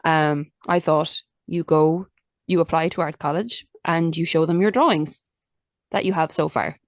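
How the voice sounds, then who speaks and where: A woman's voice: low-pitched, normal speed, no echo, studio quality. A young adult Irish woman in a casual interview, talking candidly and chattily with lots of restarts and fillers. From Ireland. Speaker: interview guest, musician.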